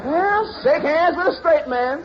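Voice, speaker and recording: A droll, skeptical waiter in a vintage radio comedy. His high voice swings widely through dry, deflating retorts. The recording is very noisy and dull. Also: lively intonation, unhurried, a loud crowd. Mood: wry, reluctant. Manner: dry, wry. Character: droll, skeptical waiter, male, sly deflating straight man. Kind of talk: 1940s radio comedy acting.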